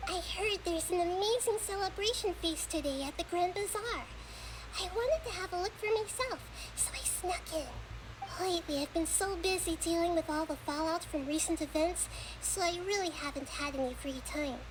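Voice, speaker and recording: A little female spirit from a video game in an extremely high, childlike voice. She sounds sweet, gentle and caring. Clear ambient noise sits behind her. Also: normal speed. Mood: gentle, thoughtful.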